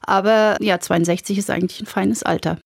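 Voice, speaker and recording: A woman's voice: medium pitch, steady tone, a little fast, creaky, dry recording, studio quality. Lightly ironic woman in her sixties. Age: 60s. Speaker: author, interviewee. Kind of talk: personal anecdote.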